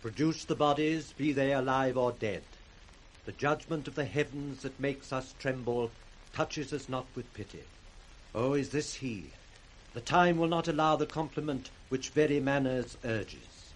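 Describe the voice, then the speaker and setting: An actor playing a commanding, upright British duke in radio Shakespeare, authoritative and declamatory. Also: male voice, mid-range voice, a little slow, lightly acted, low-quality recording. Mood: grave. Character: commanding, upright duke taking charge amid disaster.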